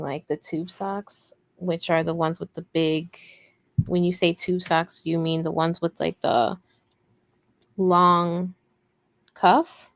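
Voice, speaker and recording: Casual livestream host: woman, soft slightly low voice, leisurely delivery, rambling and playfully teasing. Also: no room sound. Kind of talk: casual livestream chat, reading and answering viewer comments.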